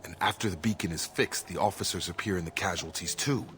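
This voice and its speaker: A very low-voiced actor as a brooding soldier from a video game. He is grim and plain-spoken, speaking his scripted lines over loud music. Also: quick pace, natural voice. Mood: grim, troubled. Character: grim soldier confronting his father's crimes.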